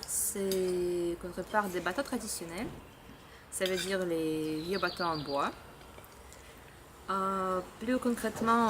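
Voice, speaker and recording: Young woman from Russia, hesitant. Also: on the low side. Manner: hesitant, many fillers, laughs often. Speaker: volunteer camp leader. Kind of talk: casual chat to camera. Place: outdoor boat workshop. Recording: noisy outdoor ambience.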